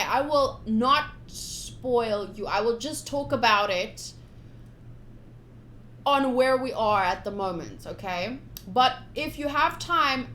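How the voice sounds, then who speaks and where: A woman with a higher-pitched voice chats to viewers on a casual game live stream. She is informal and talkative, addressing them directly. There is some background noise on the recording. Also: a bit bright, reverberant. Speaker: live streamer playing a visual novel.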